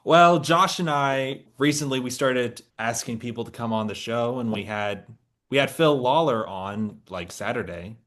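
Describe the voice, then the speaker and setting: A man's voice: medium pitch, normal speed, a bit bright. Young American male podcast host, eager fan manner, casual and reactive. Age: young adult. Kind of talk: casual chat.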